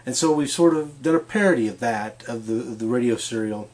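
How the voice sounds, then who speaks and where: A man's voice: mid-range voice, a little fast, a little mellow. A man giving a casual spoken introduction as the creator of a video.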